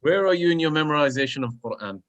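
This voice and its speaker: A man's voice: fairly high pitch, animated, quick pace, highs cut off. A man presenting a live children's religious programme over a video call, speaking in an encouraging, exclamatory way. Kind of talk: live online hosting and motivational talk.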